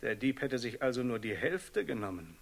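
Stern, commanding ruler. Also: male voice, medium pitch, sing-song, vocal fry. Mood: suspicious. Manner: authoritative, measured, interrogating. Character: stern, commanding male ruler, grave and suspicious. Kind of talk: German radio play acting.

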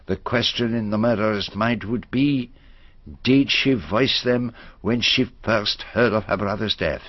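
An actor playing a shrewd, courteous Belgian detective in a radio drama, speaking formally and deliberately in a French-accented voice. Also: male voice, fairly low pitch, no echo, highs cut off. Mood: grave, reasoning. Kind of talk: radio drama acting and narration.

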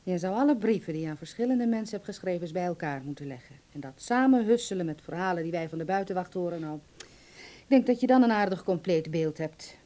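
A middle-aged mother, played by a woman in a radio drama, with a fairly low, soft-edged voice. She probes her grown son with concern, hesitating and reproaching as she goes. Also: animated, quick pace, natural voice. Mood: probing. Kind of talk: Dutch radio drama acting.

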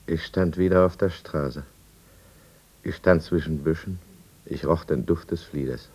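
An actor playing a remorseful drinker narrating his own downfall in a 1950s German radio drama, measured and brooding. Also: a bass voice, darkish tone, husky, full character voice. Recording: dull, muffled 1950s radio recording.

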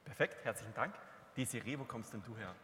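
A man's voice: medium pitch, fast talker, a bit bright. A man moderating a workshop panel in a conference hall, prompting the panelists informally. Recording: long hall reverb, faint noise.

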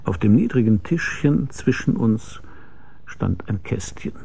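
A hard-boiled private detective in a radio crime drama. His voice is low and dark with heavy gravel. He tells his case wearily, in dry deadpan phrases. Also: unhurried, exaggerated voice. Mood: measured.

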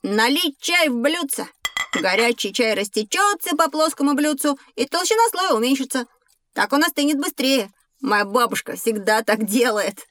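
A woman's voice: sits high, normal speed. A woman playing a curious, eager and slightly argumentative schoolboy in an educational audio play. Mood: confident. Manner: lively, boyish, inquisitive.

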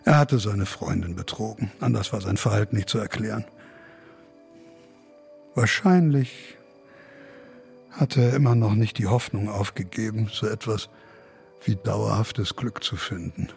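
A gravelly weary male academic in a radio play, brooding aloud. His delivery is sardonic and deliberate, with faint music underneath. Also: fairly low pitch, a little mellow. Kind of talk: literary radio drama monologue.

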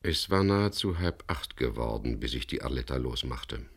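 An actor playing a wry, weary boat skipper who narrates his adventure in a dry, measured way in a German crime radio play. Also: a bass voice, animated, husky, exaggerated voice. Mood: calm, reflective. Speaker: first-person narrator and lead character.

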